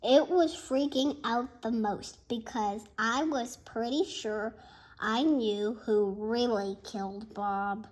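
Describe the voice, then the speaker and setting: A child reading a bedtime story aloud in an expressive, halting way, with asides to listeners. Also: mid-range voice, a little hiss or hum. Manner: expressive, halting, shouts out dialogue. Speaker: storybook reader.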